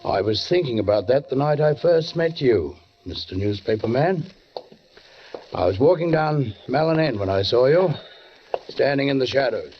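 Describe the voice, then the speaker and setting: Actor as brooding, low-fi radio police sergeant. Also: male voice, expressive, a little hiss or hum, natural voice. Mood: brooding, ominous. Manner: measured, ominous, formal. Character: brooding veteran London police sergeant narrating a murder tale. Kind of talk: 1940s radio acting. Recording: dull 1940s radio recording, faint music.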